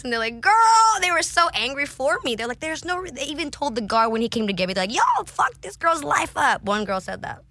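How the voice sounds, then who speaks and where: A young woman guest on a casual podcast, telling candid stories in an animated way, swearing freely and often laughing. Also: a little high, vocal fry.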